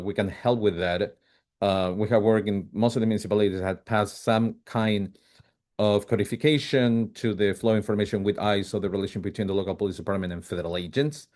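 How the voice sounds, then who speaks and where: Man presenting, halting delivery. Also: lowish voice, a little mellow. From Chile. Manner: halting, many fillers and restarts, quick bursts. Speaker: advocacy presenter. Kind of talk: policy presentation on a video call. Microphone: video call.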